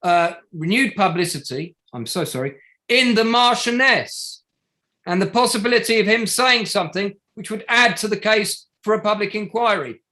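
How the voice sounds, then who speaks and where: Male actor as probing barrister, higher-pitched man, very animated intonation, sardonic, formal, pointed cross-examination, stage play reading. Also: normal speed. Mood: formal, accusing. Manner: pointed, formal, rhetorical tag questions. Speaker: actor in an online table read.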